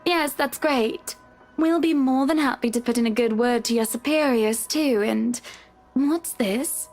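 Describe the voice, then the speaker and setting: A woman's voice: high voice, unhurried, darkish tone, soft background music. An actress playing a pushy, wealthy married socialite woman in a visual novel game, gushing and condescending. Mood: breezy, pushy.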